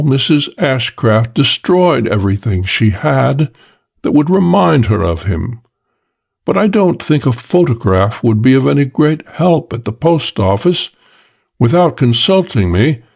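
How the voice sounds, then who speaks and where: Slow gravelly male narrator. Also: medium pitch, a little mellow. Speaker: audiobook narrator. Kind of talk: first-person detective story reading.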